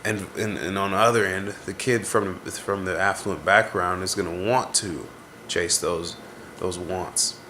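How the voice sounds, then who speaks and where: A man's voice: low-pitched, fairly even intonation, a little slow, sharp tone, slight background noise. A man in his twenties, congested, talking to camera in a rambling, introspective way as he vents. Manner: rambling, introspective, searching for words. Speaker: vlogger. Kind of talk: casual reflective venting to camera.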